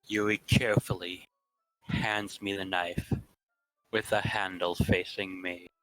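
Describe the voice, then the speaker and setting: A man reading the story narration in a plain, steady way. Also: low-pitched, slow, faint music behind, poor audio.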